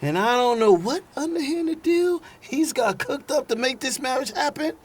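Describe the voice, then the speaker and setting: A man playing a tipsy, stubborn young younger brother in an audio drama, speaking in a loose, repetitive, halting way. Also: extremely high pitch, animated, breathy edge, exaggerated voice. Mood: resentful. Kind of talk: dramatized audio fiction dialogue. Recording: noticeable background noise.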